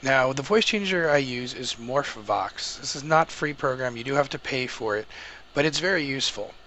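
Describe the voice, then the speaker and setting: Bright-voiced man giving a casual tech tutorial. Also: mid-range voice, lively intonation, normal speed. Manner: informal, explanatory, addressing viewers directly. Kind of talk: casual step-by-step screen tutorial. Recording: clearly noisy home microphone recording.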